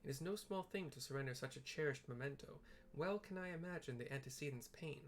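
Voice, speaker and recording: Man acting a solemn scholar, archaic ornate phrasing, fantasy game, creaky voice. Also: a little high, fast talker, a little hiss or hum, close to an everyday voice. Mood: grave, solemn. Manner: grave, archaic, ornate wording. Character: solemn, old-fashioned male scholar with archaic speech.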